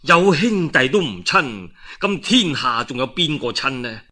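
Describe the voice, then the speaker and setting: A man's voice: mid-range voice, very animated, a little slow, sharp tone, heavily acted. A man with a Cantonese accent playing an earnest, loyal official who admonishes his lord with rhetorical questions. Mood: earnest, admonishing. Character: earnest, loyal male official pleading for brotherhood.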